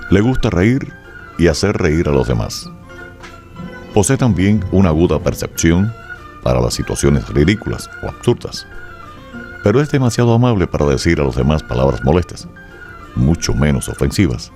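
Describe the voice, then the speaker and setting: A man delivering scripted biographical radio narration in Spanish, measured and expressive, like a storyteller. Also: deep voice. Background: faint music.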